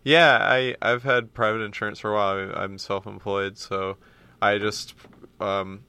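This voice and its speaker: A man taking part in casual live radio chat, speaking in a halting, earnest, mild-mannered way. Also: lowish voice, flat delivery, sharp tone, clean tone. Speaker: radio show participant.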